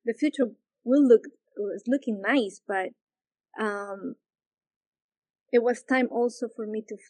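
A woman from Peru, a yoga teacher, answering interview questions slowly and with many pauses and fillers. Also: a little high, warm tone, dry recording, rough recording. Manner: hesitant, searching for words, many fillers.

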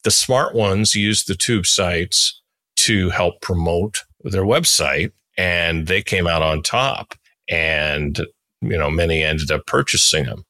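A man in his sixties with a deep voice, chatting casually as a podcast host. He talks in a rambling, anecdotal way. The sound is dry and close, free of room echo. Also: takes it slowly, pushed and bright. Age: 60s. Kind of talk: casual interview chat.

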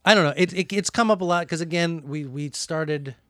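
Deadpan mock-serious man, dry mic. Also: fairly high pitch, fairly quick, no room sound. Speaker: podcast co-host. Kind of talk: satirical comedy chat.

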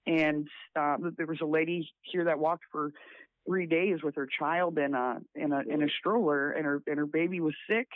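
A man from South Dakota, a volunteer guest in a casual interview, talking in a halting way with many restarts and a raspy voice. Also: a little high, steady tone, dry recording. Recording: tinny phone line.

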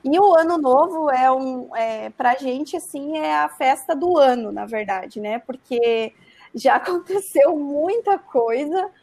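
Uma mulher jovem de Santa Catarina contando uma história de forma casual e animada, cheia de "né". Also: female voice, high voice, a little slow, vocal fry. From Santa Catarina, Brazil. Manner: animated, frequent "né" tags. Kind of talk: casual storytelling.

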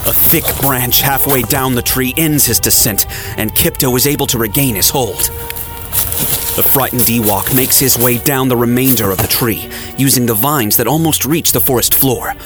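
A man narrating a scripted audio drama in a dramatic storytelling manner with deliberate phrasing. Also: medium pitch. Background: music and sound effects.

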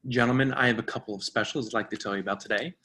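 A man playing a bright, husky formal waiter. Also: medium pitch, steady tone, rapid-fire. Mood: politely formal. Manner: formal, polite, recited. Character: formal restaurant waiter reciting fancy specials. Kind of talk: staged screenplay reading.

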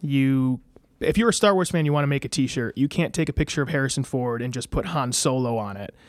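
A man's voice: mid-range voice, brisk, no room sound. Guy in his 30s rambling thru stories, um and uh everywhere, dry close sound. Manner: rambling, many fillers and restarts. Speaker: guest. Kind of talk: casual interview storytelling.

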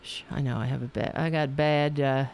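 A woman's voice: very deep voice, steady tone, moderate pace, a little mellow, slight background noise. A woman with a New York accent from Staten Island, hosting a political talk show in a rambling, profane monologue full of restarts. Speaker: podcast host.

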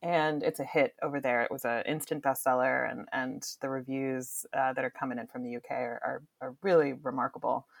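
A woman's voice: extremely low pitch, steady tone, brisk, gravelly, few pauses, no room sound. A woman giving casual book recommendations in a conversational, enthusiastic way, like a publisher's presenter. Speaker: publisher's book marketing director.

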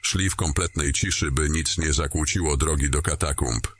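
A male narrator with an exceptionally deep voice reads a scripted fantasy story. He tells it deliberately and descriptively. A faint layer of background noise sits under the voice.

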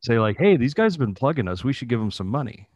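A man's voice: medium pitch, sing-song, fast, darkish tone, no room sound. A man chatting casually as a podcast co-host, slangy, bantering and profane. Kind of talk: casual chat.

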